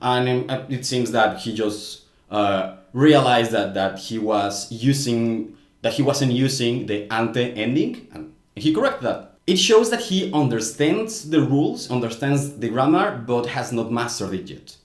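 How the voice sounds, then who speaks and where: A man's voice: mid-range voice, lively intonation, a little slow. Mexican man explaining carefully in an echoey room. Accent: Mexican Spanish. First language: Spanish. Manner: earnest, explains carefully with frequent restarts. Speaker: YouTuber commenting on language. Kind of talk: casual explanatory commentary.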